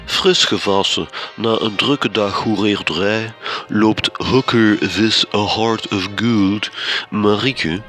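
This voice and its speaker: A man playing a pompous, deadpan storyteller narrating a radio drama. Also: fairly low pitch, slow, music in the background. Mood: sardonic. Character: dry, sardonic male storyteller.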